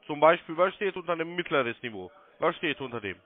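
A man's voice: on the high side, expressive, fairly quick. A man working as a call centre sales agent, giving insistent, repetitive step-by-step instructions over the telephone. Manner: insistent, repeats himself. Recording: muffled narrow-band phone line.

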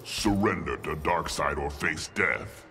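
An immensely deep alien tyrant god. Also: male voice, noisy background. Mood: cold command. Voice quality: gravelly, booming. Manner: imperious, slow, menacing. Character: tyrannical alien god conqueror, ancient, cold, merciless. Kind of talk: video game villain acting. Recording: cavernous reverb, loud game sound behind.

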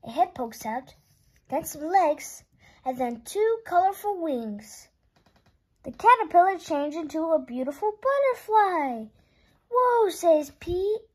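A woman reading a children's picture book aloud in an expressive way, stretching words for young listeners. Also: extremely high pitch, slow pace.

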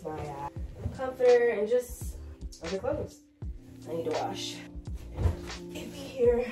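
A young American woman with a fairly low voice talks in a casual, slangy way while busy with chores. She is some way from the mic in an echoey room, and music plays clearly behind her. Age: 20s. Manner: informal, slangy, talking while doing chores. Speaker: home and cleaning vlogger. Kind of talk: casual chat to camera. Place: inside house rooms, bedroom and basement. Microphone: camera or phone set at a distance. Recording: roomy home echo, distant mic, background music.

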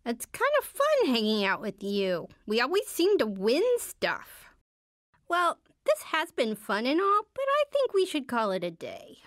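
A woman's voice: a little high, huge pitch swings, unhurried, a bit bright, no room sound, full character voice. A woman playing a shy, wry teenage superhero girl in a video game, hesitant and understated, with dry earnestness. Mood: earnest, warm. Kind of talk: video game character voice lines.

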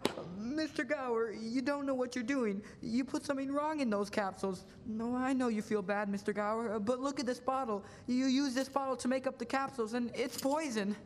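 Boy shop helper young male actor, high voice, earnest and anxious, live radio play with hall reverb. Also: steady tone, fairly quick, hardly any pauses, slight background noise. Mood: pleading, anxious. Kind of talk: stage radio-play acting. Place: school auditorium stage.

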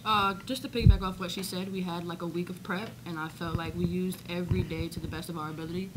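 A woman's voice: on the low side, fairly even intonation, fast talker, noisy background. A young woman basketball player answering at a postgame press conference, upbeat and peppered with filler ums, into a desk microphone. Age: young adult. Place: press conference room, indoors. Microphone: desk microphone on stand.

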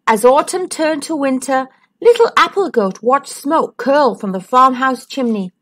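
A woman reading a picture book aloud to children in a sing-song voice, with dramatic pauses. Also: high voice, lively intonation, fairly bright tone. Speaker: children's storyteller.

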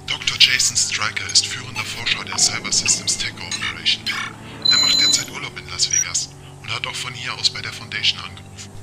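A man's voice: lowish voice, fairly quick, natural voice. A man playing a polite, pedantic male car computer in an audio drama, speaking in formal, courteous phrases. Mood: precise, matter-of-fact. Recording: loud music bed.

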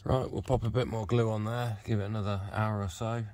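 A British man in his forties with a deep voice talks casually through a hands-on job. He is low-key and half talks to himself, recorded on a handheld phone with a little ambient noise behind him. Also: flat delivery, fairly quick, darkish tone. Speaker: vlogger demonstrating a repair. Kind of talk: casual running commentary on a DIY job. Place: covered outdoor patio by a hot tub. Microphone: handheld phone camera mic.

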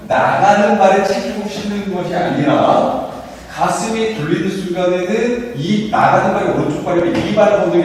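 Male posture coach, animated delivery, encouraging and repetitive, recorded distantly in a reverberant hall. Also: high voice. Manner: encouraging, repeats short prompts. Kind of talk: hands-on instruction to trainees. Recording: echoey hall, distant mic, faint noise.

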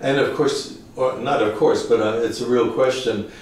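A man's voice: mid-range voice, fairly even intonation, moderate pace. A man interviewing a guest, asking curious, probing questions. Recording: roomy, from off-mic distance.

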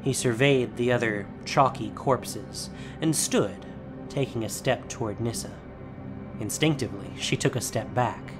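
A man giving steady, solemn scripted narration of a fantasy story. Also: medium pitch, unhurried, music in the background.

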